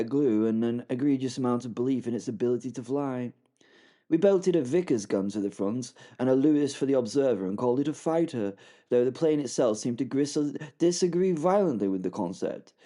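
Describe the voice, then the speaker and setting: A man's voice: medium pitch, warm tone, lightly acted. A man doing a posh English WWI pilot. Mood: wry. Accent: upper-class English. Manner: rambling, self-deprecating, stammers and restarts. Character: plucky young British WWI pilot, future wing commander. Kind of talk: first-person audio drama narration.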